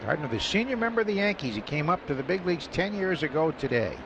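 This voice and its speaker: A man giving relaxed, chuckling color commentary on a baseball radio broadcast. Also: fairly high pitch, fast, vocal fry. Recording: muffled radio broadcast with noise.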